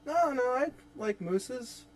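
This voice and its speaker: A man's voice: very high voice, lively intonation, takes it slowly, pitched above the performer's own voice, heavily acted. A man voicing a troubled, polite young man carrying guilt, in a dramatic script reading. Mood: light, awkward. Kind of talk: dramatic script table read.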